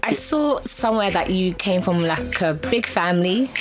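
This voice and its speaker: A woman's voice: on the low side, lively intonation, normal speed. A woman hosting a radio interview, chatty and playful, laughing often. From Yoruba heritage, raised in England. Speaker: radio show host. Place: radio studio. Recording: radio broadcast with background music. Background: music bed.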